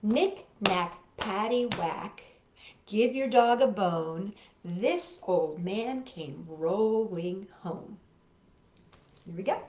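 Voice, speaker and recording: A woman's voice: medium pitch, animated, drawn-out words, a little mellow. A woman hosting a children's storytime, telling and explaining things simply and expressively to young listeners. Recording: roomy echo, slightly dull sound.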